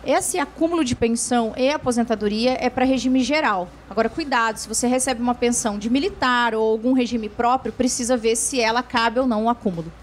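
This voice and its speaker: A woman's voice: on the high side, brisk, few pauses, a little hiss or hum. A woman lawyer answering viewer questions on a TV show, explaining patiently with frequent tag questions and restarts. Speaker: lawyer, guest expert.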